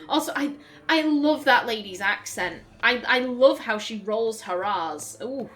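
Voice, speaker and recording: A woman's voice: fairly high pitch, lively intonation, measured pace, fairly bright tone, faint music behind. Chatty, giggly woman playing a game. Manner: chatty, giggly, self-interrupting. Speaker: let's play host. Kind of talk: casual gameplay commentary.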